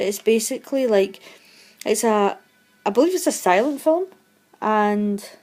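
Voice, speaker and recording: A woman's voice: mid-range voice, dark tone. A young woman who is losing her voice, chatting casually and rambling about films she bought. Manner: chatty, rambling, self-deprecating. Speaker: vlogger showing film purchases. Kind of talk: casual chat.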